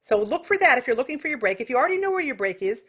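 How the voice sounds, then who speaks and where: Lively, higher-voiced woman teaching singing. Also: racing, breathy edge. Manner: explains with vivid imagery and sung examples. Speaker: vocal technique teacher. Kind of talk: informal singing lesson with demonstrations.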